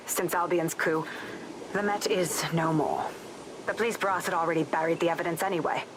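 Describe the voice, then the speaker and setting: Video game role for an actress: hardened former female inspector, very low register, blunt, determined, wry. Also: natural voice. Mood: bitter resolve. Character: world-weary former police inspector, tough, sweary, determined woman. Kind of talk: video game cutscene acting. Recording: noisy ambient background.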